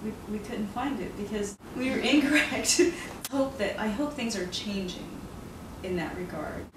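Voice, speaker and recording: A woman's voice: mid-range voice, moderate pace. A woman author being interviewed about her novel, reflective and earnest, laughing often. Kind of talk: casual interview conversation. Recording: roomy and noisy, mic far off.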